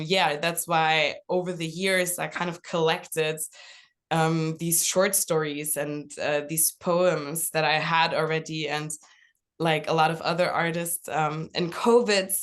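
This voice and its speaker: A young woman whose first language is German, speaking English as an author at a college event, relaxed, with many fillers. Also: lowish voice. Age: young adult. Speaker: author guest. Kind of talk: casual talk at a college event.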